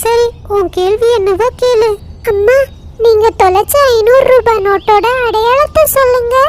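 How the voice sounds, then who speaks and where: A woman's voice: extremely high pitch, normal speed, background noise, pitched above the performer's own voice. A woman voicing an earnest, polite young girl bird in a children's cartoon. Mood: earnest, firm. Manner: polite, questioning, firm. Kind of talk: children's cartoon story acting.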